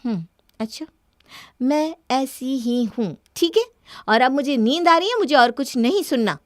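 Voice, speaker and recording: A woman's voice: sits high, lively intonation, a little slow, no room sound, clean studio sound. A woman voicing a spoiled, defiant young wife in a cartoon story, arguing in a dismissive, indignant way. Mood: irritated. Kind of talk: Hindi cartoon story dialogue.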